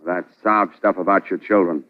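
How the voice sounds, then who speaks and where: A man playing a blustering, stubborn police commissioner, gruff and accusatory, in 1940s radio drama style. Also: deep voice, steady tone, normal speed, close to an everyday voice. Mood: accusing. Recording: dull old radio recording.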